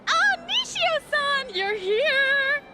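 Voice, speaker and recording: An actress playing a snooty, dismissive social climber in a video game, with a haughty manner. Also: female voice, very high voice, big pitch swings, extremely slow, music in the background, heavily acted. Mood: gushing. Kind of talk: exaggerated video game voice acting.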